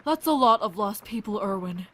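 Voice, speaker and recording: A woman acting a weary, compassionate and wry scientist in an audio drama, speaking in a halting, thoughtful way. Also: mid-range voice, animated, fairly bright tone. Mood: troubled.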